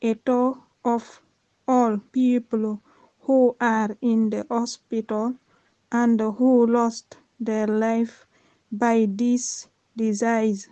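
A woman from Guinea, a language student reading her own poem aloud in a slow, careful, halting way. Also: on the high side, level tone, dark tone, no echo, dull audio.

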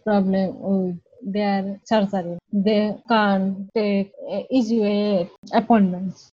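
A woman's voice: mid-range voice, fairly even intonation, no echo. Hesitant woman learner from Bangladesh. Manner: halting learner English with many fillers. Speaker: ESOL student. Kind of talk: casual self-introduction. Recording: dull lo-fi recording.

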